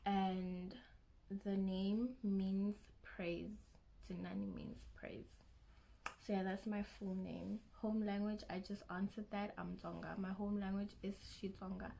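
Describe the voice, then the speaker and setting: A woman's voice: medium pitch, takes it slowly, vocal fry, mellow, slight background noise, low-quality recording. A young woman with a South African accent, chatting casually and a little nervously in her first vlog. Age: young adult. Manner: nervous, chatty, self-conscious, restarts often. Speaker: vlogger.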